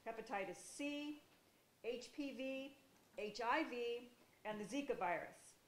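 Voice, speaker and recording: A woman reads a formal introduction at a steady, even pace, in a mid-range voice. Her voice echoes around a hall, and a faint room hum sits underneath. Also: on the high side. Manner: reads a prepared bio steadily. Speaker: event host introducing the speaker. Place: lecture hall.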